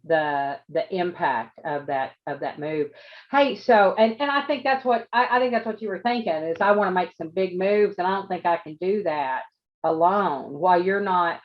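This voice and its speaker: A woman's voice: fairly low pitch, animated, normal speed, lo-fi sound. A woman business coach hosting an interview over a video call, encouraging and repeating words as she thinks. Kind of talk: interview host, casual.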